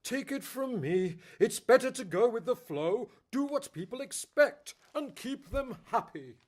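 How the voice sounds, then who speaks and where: Weary king and father, played by a woman: middle-aged, grumbling, measured delivery, radio drama. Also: on the high side, expressive, darkish tone. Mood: admonishing. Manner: grumbling, advising. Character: exasperated older king, dutiful, weary father of prince.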